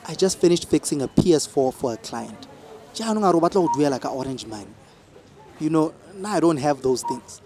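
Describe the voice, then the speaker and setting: A man's voice: on the high side, animated, brisk, noisy background. A man playing a wheedling young hustler in a radio drama, with a Botswana accent and slangy, coaxing talk. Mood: stressed, coaxing. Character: wheedling young hustler, cajoling his boss for favours.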